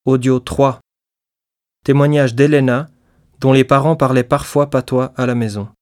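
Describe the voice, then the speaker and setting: A man reading a short scripted introduction in a flat, formal manner. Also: medium pitch, moderate pace, no room sound, studio quality. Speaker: narrator introducing the recording. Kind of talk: scripted announcement.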